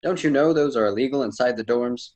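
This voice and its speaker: A man's voice: mid-range voice, brisk, darkish tone, natural voice. A man playing a courteous, lofty ancient poet and guide in a radio drama, speaking formally and theatrically. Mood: amused, admonishing.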